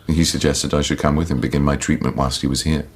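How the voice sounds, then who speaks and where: An actor playing a troubled, guilt-ridden war veteran in a radio drama, clipped and understated, with a deep gravelly voice. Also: male voice, steady tone, fast talker, slight background noise. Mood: dry, candid.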